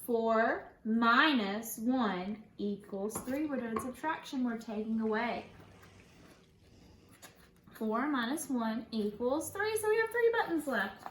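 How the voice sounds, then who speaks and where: An echoey room carries the high voice of a woman teaching young children through a read-aloud. She speaks in a sing-song storytelling manner and swings widely in pitch as she puts questions to her listeners. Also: expressive, slow, fairly bright tone. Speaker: schoolteacher reading to young pupils at home. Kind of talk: read-aloud picture book lesson for children. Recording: echoey room, faint background noise.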